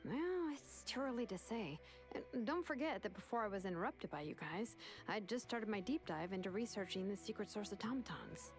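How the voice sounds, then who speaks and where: A woman voicing a brisk, modest young researcher in a video game, expressive yet matter-of-fact. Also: mid-range voice, soft background music, close to an everyday voice. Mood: brisk, analytical.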